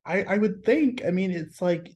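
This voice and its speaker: A man from Texas, a guest artist on a podcast, talking casually and thoughtfully, often pausing to find his words. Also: sits high, lively intonation, a little mellow. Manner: thoughtful, searching for words, frequent restarts.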